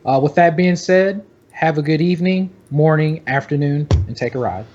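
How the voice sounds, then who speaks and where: A man's voice: a little high, normal speed, darkish tone, dull audio. Man co-hosting a casual sports podcast. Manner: rambling, emphatic, repeats himself for effect. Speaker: podcast co-host. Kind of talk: casual fantasy basketball podcast banter.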